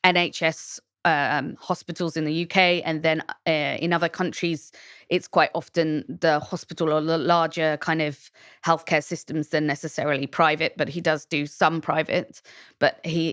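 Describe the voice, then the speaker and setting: A woman from Shropshire answering questions as a designer guest on a podcast. She talks reflectively, pausing often with fillers and restarting her sentences. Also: sits low, fairly bright tone, clear, resonant tone, no echo, studio quality. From England, Shropshire.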